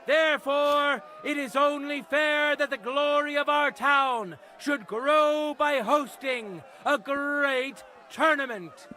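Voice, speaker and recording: A man's voice: very high voice, slow, hardly any pauses, a little hiss or hum, exaggerated voice. A man playing a proud medieval tournament herald in a video game, proclaiming ceremoniously and projecting to a crowd. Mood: grand, welcoming. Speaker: tournament announcer. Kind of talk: theatrical game voice acting, public proclamation. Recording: loud music and crowd behind voice.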